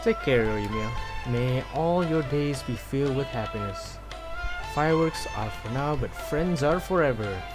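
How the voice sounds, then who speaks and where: A man's voice: mid-range voice, expressive, measured pace. A man from Malaysia livestreaming a game, casually commenting and reading dialogue aloud in a laid-back, rambling way. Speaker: game streamer. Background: game music.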